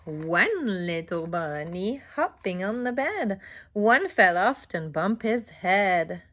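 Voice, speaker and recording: A middle-aged woman reading a picture book aloud to young children in an exaggerated, interactive way, with questions and pauses. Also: mid-range voice, sing-song, fairly bright tone. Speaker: children's storybook reader. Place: small indoor room at home.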